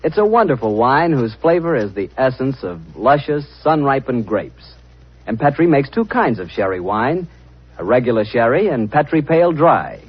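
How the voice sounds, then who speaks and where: An American man announcing on 1940s radio in a folksy, selling style. His mid-pitched voice is husky and very animated. The recording sounds dull and old. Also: normal speed. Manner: folksy, salesmanlike.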